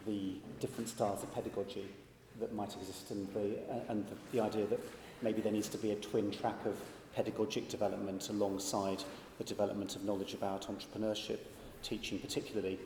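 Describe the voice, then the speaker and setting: A man's voice: on the low side, level tone, fairly quick, warm tone, a little hiss or hum. A man panelist answering reflectively, often repeating words as he gets going. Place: large conference hall. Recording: echoey hall.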